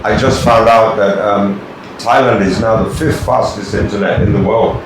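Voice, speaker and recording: A low-voiced older man with a Scottish accent tells stories in a loose, rambling way, full of fillers. He sits at a desk in an office, recorded by a camera on a tripod. The room rings with a strong echo and steady background noise. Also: normal speed, distant mic. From born in Kenya, raised in Tanzania. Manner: relaxed, rambling storyteller with many fillers. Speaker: interview guest. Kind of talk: casual interview chat.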